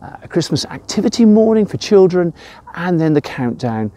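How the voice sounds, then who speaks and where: A man's voice: high voice, very animated, moderate pace, dark tone. An older man with an English accent giving an informal devotional talk in an emphatic way, with personal anecdotes. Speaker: church devotional presenter.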